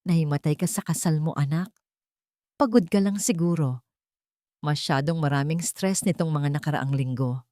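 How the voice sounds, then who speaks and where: A woman narrator voicing a controlling mother, soft and guarded, in an audio love-story drama. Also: sits low, normal speed, no echo, clean studio sound, close to an everyday voice. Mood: tender. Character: controlling mother, outwardly tender, coldly menacing underneath.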